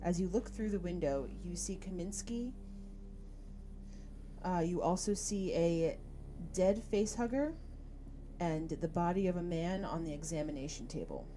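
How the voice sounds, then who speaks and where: A woman's voice: on the low side, expressive, moderate pace, pauses often, background noise. A woman game master narrating a tabletop roleplaying game. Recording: prominent music behind the voice.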